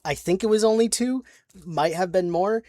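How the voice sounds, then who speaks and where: A young woman chatting casually about her tabletop game character, halting with many restarts and little giggles. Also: medium pitch, dark tone, no echo. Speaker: player recounting a tabletop campaign. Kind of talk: casual rambling chat.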